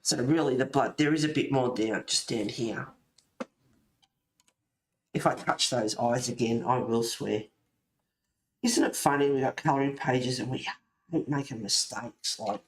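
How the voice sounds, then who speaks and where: A man's voice: medium pitch, unhurried, long pauses, reverberant, a little hiss or hum. A man chatting casually and informally while colouring, rambling and thinking aloud as he goes. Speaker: host of a colouring channel.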